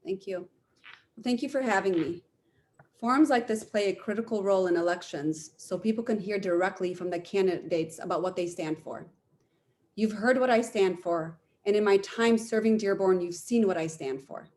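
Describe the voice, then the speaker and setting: A woman's voice: medium pitch, moderate pace, darkish tone. Woman delivering an earnest campaign speech. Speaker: mayoral candidate giving closing statement.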